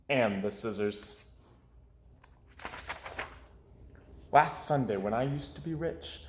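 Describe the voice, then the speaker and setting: A man's voice: on the high side, darkish tone. Guy doing a dramatic little kid from a storybook, rueful n emphatic, roomy echo. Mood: aggrieved, rueful. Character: young boy, dramatic, aggrieved, forever losing his money. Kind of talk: expressive children's storybook read-aloud.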